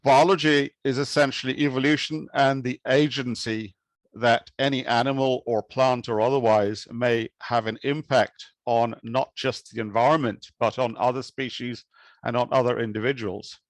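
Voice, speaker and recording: Measured Kenyan-born man giving an academic talk. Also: medium pitch, no echo. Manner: measured, thoughtful, with occasional restarts. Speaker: conference speaker.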